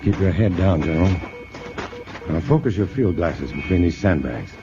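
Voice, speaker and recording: An actor playing an earnest, thoughtful astrophysicist in a 1950s radio drama, with a deliberate, scholarly manner. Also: male voice, low voice, animated. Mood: urgent, grave. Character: earnest, thoughtful male astrophysicist, urgent under attack. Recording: muffled old radio broadcast, music underneath.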